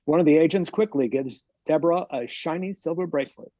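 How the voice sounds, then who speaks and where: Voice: mid-range voice, a little fast, mellow. A narrator reading scripted stage directions at a table read over a video call. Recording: muffled, dull video-call audio.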